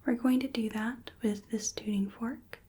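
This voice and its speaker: Breathy, whispery young woman, slow and soft. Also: on the high side, steady tone. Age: 20s. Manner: gentle, slow, careful instructing. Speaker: ASMR performer playing a hearing examiner. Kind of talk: soft-spoken ASMR medical roleplay. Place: small indoor room. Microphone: close microphone, just off camera.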